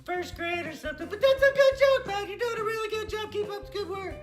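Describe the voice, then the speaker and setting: A performer voicing an excitable, giggly little girl puppet who hosts a kids' show, gushing and repeating exclamations. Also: very high voice, quick pace. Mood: excited, giggly. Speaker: puppet show host for kids. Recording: roomy echo, faint music.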